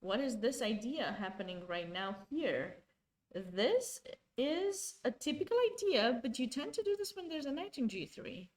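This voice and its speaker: A woman's voice: fairly high pitch, expressive, a little slow. A woman, commentating a chess game live and chatting with viewers, often restarting her sentences. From Romania. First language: Romanian. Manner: chatty, self-correcting, talks to chat.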